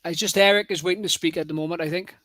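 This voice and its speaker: A man's voice: a little high, steady tone, very fast, fairly bright tone, no room sound, studio quality. A man with a Welsh accent leading a committee call, chatty and repeating words as he starts sentences. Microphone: online call.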